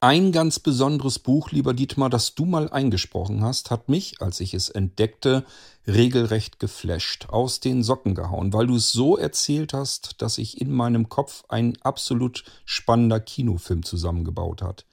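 A man hosting an interview, putting prepared questions with deliberate, emphatic phrasing. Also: medium pitch, expressive, creaky, darkish tone, husky. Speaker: interviewer, host.

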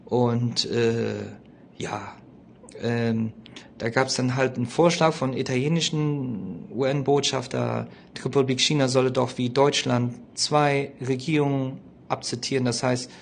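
A man giving an informal spoken history explanation in a colloquial, opinionated way, often halting and hesitating as he searches for words. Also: medium pitch, gravelly, a little hiss or hum.

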